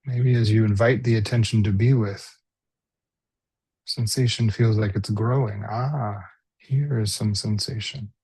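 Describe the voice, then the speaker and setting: A man leading a guided body scan meditation as a teacher, speaking gently and unhurriedly with pauses. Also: fairly low pitch, fairly even intonation, husky, dry recording.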